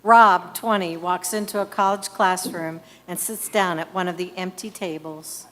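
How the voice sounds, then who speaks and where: A woman reading screenplay stage directions in a crisp, matter-of-fact way. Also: on the low side, fairly even intonation, normal speed, fairly bright tone. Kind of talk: scripted narration. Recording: long hall reverb.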